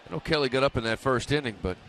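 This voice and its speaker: A man's voice: medium pitch, racing, a bit bright, a little hiss or hum, rough recording. A man giving informal live baseball analysis as a radio colour commentator.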